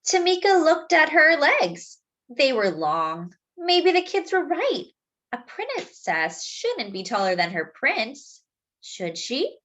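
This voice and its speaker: A woman reading a children's story aloud expressively, phrasing deliberately as if to young listeners. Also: high voice, wildly swooping pitch, fairly bright tone, lo-fi sound. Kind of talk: expressive picture-book read-aloud with asides to young listeners.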